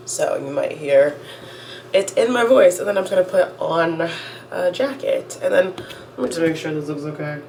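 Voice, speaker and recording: A young woman talks into her phone at home as she gets ready, rambling and cutting herself off mid-thought. Her voice sits slightly low and sounds a bit stuffy from a cold she is getting over. A faint background hum runs under the recording. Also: animated, normal speed, darkish tone. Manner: chatty, rambling, self-interrupting. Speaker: vlogger. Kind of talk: casual get-ready-with-me chat. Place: at home, indoors.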